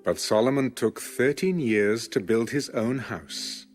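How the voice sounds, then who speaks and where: A man narrating scripture from a script in a measured, solemn manner. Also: medium pitch, soft background music. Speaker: Bible narrator.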